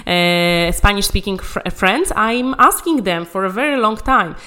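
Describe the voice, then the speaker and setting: A woman's voice: medium pitch, measured pace, pushed and bright. Emphatic woman coaching. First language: Polish. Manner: emphatic, encouraging, repeats key phrases. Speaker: English conversation coach. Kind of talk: motivational language-learning talk.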